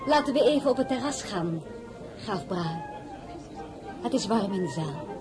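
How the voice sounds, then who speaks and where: An actress playing a proud, principled foreign-born crown princess in a radio drama, speaking with formal, deliberate dignity. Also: female voice, mid-range voice, animated, music in the background, crowd noise, close to an everyday voice. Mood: gracious, firm. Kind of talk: dramatized novel, radio play acting. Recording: clearly noisy recording.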